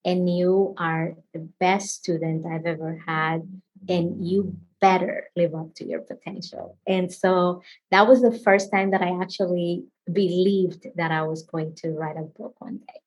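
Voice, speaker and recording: A woman's voice: lowish voice, unhurried, rough recording. Chatty woman. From Dominican Republic. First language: Spanish. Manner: chatty and expressive, laughs often. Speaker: author guest. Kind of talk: casual interview answers.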